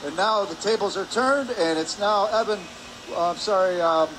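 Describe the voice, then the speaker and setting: A man giving measured, reflective color commentary between plays at a live hockey game. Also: sits high. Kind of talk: live sports analysis. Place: indoor ice hockey arena. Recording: noisy rink ambience behind the voice.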